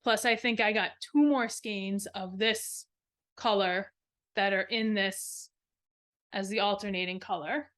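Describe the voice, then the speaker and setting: A woman's voice: mid-range voice, fairly even intonation, a little slow, fairly bright tone. A woman co-hosting a craft podcast, talking casually in a show-and-tell style and rambling as she thinks aloud. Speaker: knitting podcast co-host.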